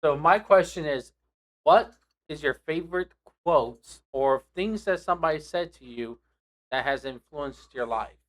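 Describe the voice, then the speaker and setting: A young man hosting a casual podcast chat with friends, talking in a relaxed, rambling way with restarts. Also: on the high side, unhurried. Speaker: podcast host.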